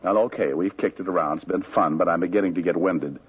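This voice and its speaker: A man's voice: deep voice, fast talker, breathy edge. An actor playing a breezy, sardonic male private detective in a 1950s radio drama, tossing off wisecracks. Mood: wry. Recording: dull old radio broadcast.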